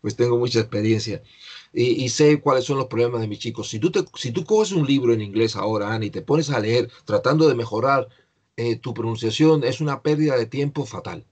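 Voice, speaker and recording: A man's voice: medium pitch, brisk, darkish tone, husky. An older man teaching English in an online class, patiently explaining pronunciation to a student over a video call.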